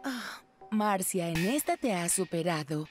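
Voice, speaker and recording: A woman voicing a composed, dryly skeptical mother and cook in an animated cartoon. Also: lowish voice, a little slow, over music. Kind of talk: animated cartoon dub acting.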